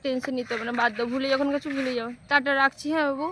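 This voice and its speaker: A woman's voice: high voice, steady tone, very fast, very noisy. A woman making a prank phone call, sweet-talking and sulking as a pretend lover. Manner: teasing, sulky sweet-talk. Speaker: prank caller. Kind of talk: prank phone call, playing a flirty stranger.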